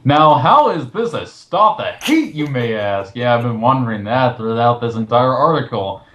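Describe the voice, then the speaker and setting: A man's voice: medium pitch, very animated, normal speed, fairly bright tone, lo-fi sound, slight background noise. A man co-hosting a film commentary, mocking and sarcastic as he reads and reacts casually. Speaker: co-host of a film commentary show. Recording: roomy, echoey voice recording.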